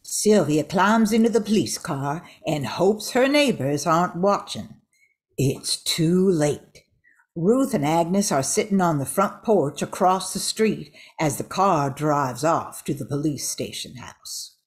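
A woman's voice: fairly low pitch, animated, slow. An older woman reading scripted narration for an amateur radio play.

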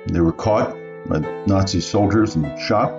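A man playing an elderly refugee recounting his family's story in a radio play, deliberate and earnest. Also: sits low. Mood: somber. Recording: roomy, dull sound, piano underneath.

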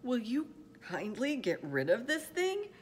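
Woman acting a loud, bullying jungle monkey. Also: on the high side, huge pitch swings, unhurried, a little hiss or hum, voice pushed up. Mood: loud, scornful. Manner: shouting, scornful.

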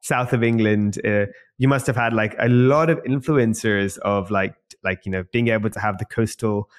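A man's voice: fairly low pitch, fairly even intonation, normal speed. Young guy hosting a podcast, eager, lots of likes and ums, studio mic. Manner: enthusiastic, rambling questions with many fillers. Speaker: podcast host. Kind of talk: casual interview questions. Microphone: studio microphone on a stand.

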